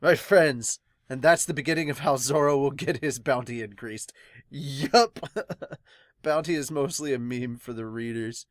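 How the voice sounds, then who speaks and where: A man gives a casual, rambling review in an excitable way, often breaking into laughter. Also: mid-range voice, unhurried, dry recording, clean studio sound. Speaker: host of a manga review channel. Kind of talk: casual reaction and review talk.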